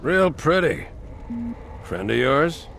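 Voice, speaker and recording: An actor playing a terse, authoritative, wry military captain in a video game. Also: male voice, on the high side, very animated, slow, vocal fry, fairly bright tone, a little hiss or hum, exaggerated voice. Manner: terse, commanding. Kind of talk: video game cutscene acting.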